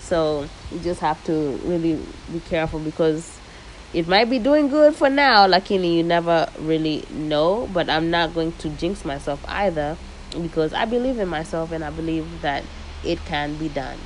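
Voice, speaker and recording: A young woman hosting her own podcast, talking casually and lively and dropping Swahili slang into her speech. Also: low voice, moderate pace, a little hiss or hum, poor audio. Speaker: podcast host. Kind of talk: casual solo podcast monologue. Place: living in the United States.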